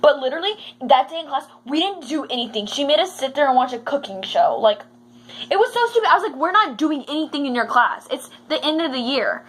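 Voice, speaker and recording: A woman's voice: high-pitched, very animated, fast talker, a bit bright. A young American woman chatting casually as she tells stories, acting out the dialogue in a giggly way. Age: teen or young adult. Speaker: vlogger telling school stories. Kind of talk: casual storytime chat. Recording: faint background noise.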